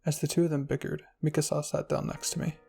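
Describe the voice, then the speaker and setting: Husky, creaky man reading a story. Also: fairly high pitch, level tone, fast talker, dark tone, soft background music. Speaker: fan fiction reader. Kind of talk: soft narrated ASMR roleplay story reading.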